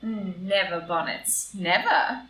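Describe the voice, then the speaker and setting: A woman playing a poised, high-minded society wife, gracious and earnest, in a stage comedy reading. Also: slow pace, fairly bright tone. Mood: playfully prim. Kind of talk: live read-through of a stage comedy as audio drama. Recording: echoey room, distant mic, faint noise.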